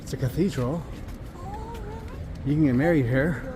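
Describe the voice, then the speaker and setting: A man's voice: medium pitch, normal speed. A man giving a casual travel vlog commentary as he walks through a city, musing aloud with lots of fillers. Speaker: travel vlogger. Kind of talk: casual walking-tour commentary. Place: outdoors on busy city streets. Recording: outdoor street noise. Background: passing traffic.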